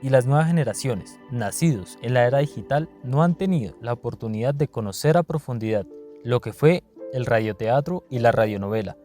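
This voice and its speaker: A man reads documentary narration in a mid-range voice. Soft music plays behind his scripted delivery. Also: medium pitch, animated, brisk.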